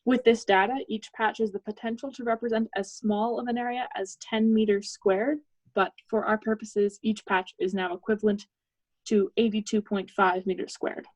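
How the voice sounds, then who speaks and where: A young woman giving a technical project presentation as a student, in a measured, explanatory way. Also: mid-range voice, flat delivery, a little mellow, no echo, poor audio.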